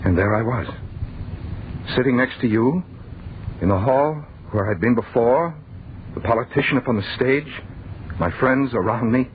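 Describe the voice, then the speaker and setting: A man's voice: mid-range voice, normal speed, pauses often, natural voice. An actor playing a horrified man who tells of being flung into a deathless future, intense first-person acting in a noisy, muffled old-time radio horror play. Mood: subdued reflection. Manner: dramatic, intense.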